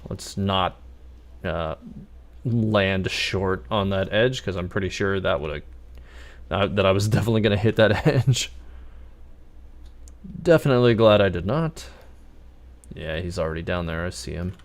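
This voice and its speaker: Low-voiced man commentating on gameplay. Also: a little slow, long pauses. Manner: easygoing, thinking aloud. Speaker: game streamer. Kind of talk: casual live gameplay commentary.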